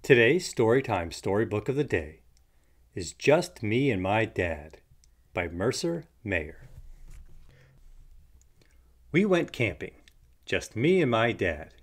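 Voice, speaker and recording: A man narrating a picture book aloud for young children in an expressive storytelling manner. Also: fairly low pitch, slow pace, long pauses.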